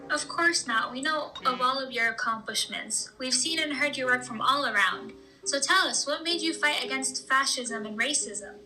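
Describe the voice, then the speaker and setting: Woman doing chatty upbeat roaring twenties radio host, high sharp bright voice, music playing. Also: normal speed, rough recording. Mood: enthusiastic. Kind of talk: scripted 1920s-style radio show banter.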